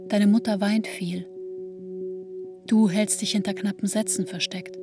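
A woman narrating a radio drama in a measured, restrained way, recounting painful memories intimately in the second person. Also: mid-range voice, level tone, natural voice. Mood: restrained, sober. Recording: dry studio with music underneath.

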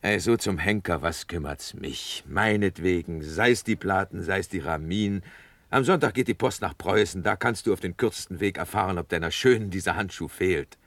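A deep-voiced, down-to-earth military officer in a classical radio play. He is impatient and blunt with his friend, in a very dry recording. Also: normal speed, a bit bright, no echo. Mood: impatient, teasing.

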